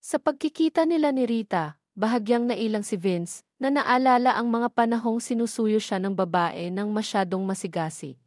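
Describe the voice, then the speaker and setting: A woman's voice: on the high side, expressive, moderate pace, a little mellow, dry recording, clean studio sound. A woman with a Filipino accent narrating a scripted audio drama story.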